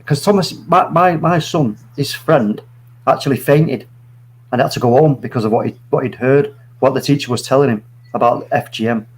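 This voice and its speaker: A man's voice: medium pitch, moderate pace, low-quality recording. Earnest man in his forties. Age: 40s. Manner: earnest, rambling, many restarts. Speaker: stream guest. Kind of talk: opinionated live chat.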